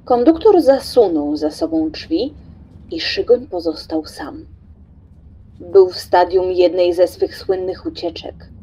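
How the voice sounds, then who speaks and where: Female narrator for audio drama: husky, theatrical, deliberate, with widely swinging intonation. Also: fairly low pitch, big pitch swings, mellow, low-quality recording. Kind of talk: dramatic scripted literary narration.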